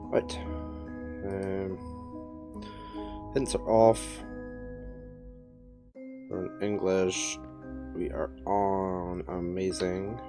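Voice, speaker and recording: A man streaming a puzzle game, chatting and thinking aloud, his voice croaky from a head cold. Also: sits low, little pitch movement, very slow, pauses often, music in the background. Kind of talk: casual live-stream commentary.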